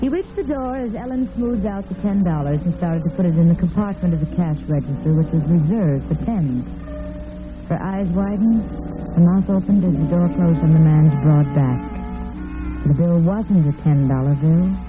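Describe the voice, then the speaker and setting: A woman's voice: lowish voice, normal speed. A woman giving dramatic scripted narration as the storyteller of a radio drama. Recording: tinny vintage radio recording with music.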